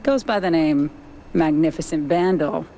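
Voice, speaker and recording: A woman's voice: deep voice, normal speed, mellow, a little hiss or hum. A woman playing a coy, cool mouse informant in a noir cartoon game, with a wry, teasing delivery.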